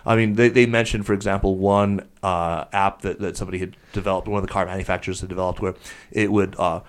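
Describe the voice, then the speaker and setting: A man's voice: low-pitched, fairly even intonation, fast talker. A man hosting a podcast, chatting casually in a wordy, digressive way with an erudite vocabulary. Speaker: podcast host. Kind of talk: casual panel chat.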